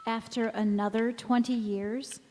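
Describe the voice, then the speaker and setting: A woman playing a wistful, gentle mother in an old-time radio drama, recorded in an echoey church hall. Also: a little high, little pitch movement, a little slow, slight background noise, lightly acted. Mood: wistful anguish. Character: wistful, gentle mother returned as young visitor.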